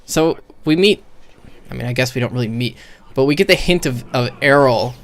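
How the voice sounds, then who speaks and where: A man doing casual, unscripted game commentary as a streamer, profane and opinionated, on a microphone turned up too high. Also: a little fast. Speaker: streamer critiquing a video game. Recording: overdriven, too-hot microphone.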